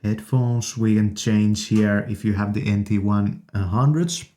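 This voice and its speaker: Male gear-review host: low, soft-edged dark voice, casual and enthusiastic, speaking in halting phrases into a studio condenser. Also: steady tone. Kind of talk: casual product demonstration. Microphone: studio condenser microphones.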